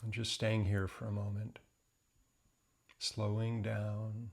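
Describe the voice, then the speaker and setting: A man leading a guided meditation in a gentle, unhurried, deliberate way, leaving long pauses between phrases. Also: on the low side, completely flat delivery, vocal fry.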